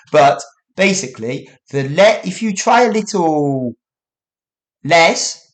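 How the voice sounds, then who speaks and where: A man hosting his own English-learning podcast, talking casually to listeners with frequent "you know" asides. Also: a little high. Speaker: English teacher and podcast host. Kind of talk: solo educational podcast talk.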